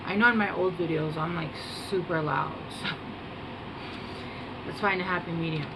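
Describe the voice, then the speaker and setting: A woman giving a casual tarot reading to camera, soft-spoken and meandering, with many pauses and fillers. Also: on the low side. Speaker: tarot reader. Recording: home recording with air-conditioner hum.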